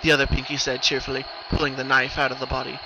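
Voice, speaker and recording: A man with a mid-pitched voice narrates a horror story in a dramatic reading. His delivery is scripted narration. Clear noise runs behind his voice. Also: monotone, fast. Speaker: narrator of horror fan fiction. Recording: noisy recording, rumbling ambient background.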